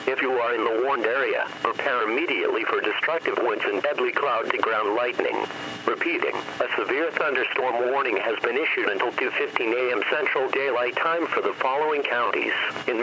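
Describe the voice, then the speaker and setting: A man reading a scripted emergency weather alert in a formal, impersonal manner, as a warning announcer. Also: mid-range voice. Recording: noisy weather radio broadcast.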